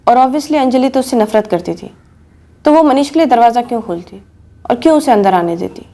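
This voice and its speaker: A woman's voice: a little high, expressive, fairly quick, warm tone. An actress playing an analytical female police investigator in a TV crime drama, methodical and questioning. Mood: analytical, doubting. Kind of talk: Hindi TV crime drama acting.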